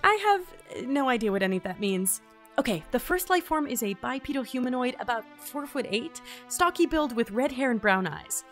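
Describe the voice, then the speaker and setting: An actress playing an awkward, wry visitor from another world in a fantasy audio drama, casual and hesitant. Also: female voice, high-pitched, sing-song, normal speed, fairly bright tone, faint music behind. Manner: casual, hesitant, dryly sarcastic. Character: awkward, wry, easily amazed otherworldly traveler. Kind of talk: fantasy audio drama acting with mission-log asides.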